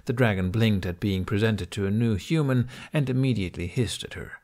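A man's voice: on the low side, fairly quick, a little mellow. A man reading a scripted story aloud as an audiobook narrator.

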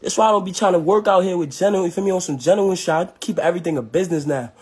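A man's voice: high voice, racing, darkish tone, husky. Brash young New man. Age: young adult. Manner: brash, boastful, slangy. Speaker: rapper. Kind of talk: casual livestream rant. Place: small indoor room at home. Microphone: handheld phone, close and moving.

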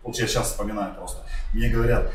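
A man's voice: on the low side, steady tone, brisk. Chatty man in an echoey kitchen. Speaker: vlog host. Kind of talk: casual chat while cooking. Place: home kitchen, indoors. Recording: roomy kitchen from far off, faint hiss.

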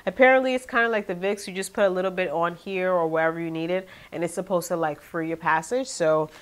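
Young woman with a nasally stuffed up voice, kinda low, chatting away to her viewers from her living room. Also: fast. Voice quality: nasal, congested. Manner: chatty, animated, talks to viewers directly. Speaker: vlogger. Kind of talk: casual haul video chat.

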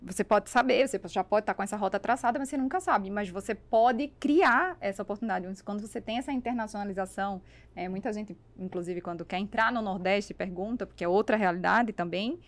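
A woman's voice: medium pitch, brisk. A woman in her thirties with a Brazilian accent, telling stories conversationally as a podcast guest, close on the mic. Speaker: podcast guest, business networker. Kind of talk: animated conversational storytelling. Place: small foam-treated podcast studio. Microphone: close podcast microphone on boom arm.

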